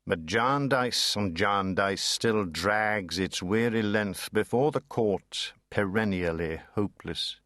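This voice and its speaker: A man's voice: on the low side, slow pace, few pauses, a bit bright, no room sound, clean studio sound. A man reading classic literature dramatically, theatrical and precise. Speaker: audiobook narrator. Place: dry studio.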